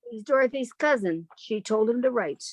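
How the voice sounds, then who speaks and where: Yearning country spinster, thirties woman acting, earnest higher voice, dry studio sound, radio melodrama. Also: expressive, normal speed, dry recording, poor audio. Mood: defensive.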